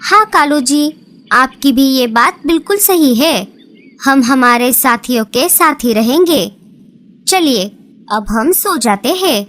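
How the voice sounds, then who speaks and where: A woman voicing cartoon birds, speaking in a slow, sing-song storybook way. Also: high voice, expressive, fairly bright tone. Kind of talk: cartoon dialogue acting.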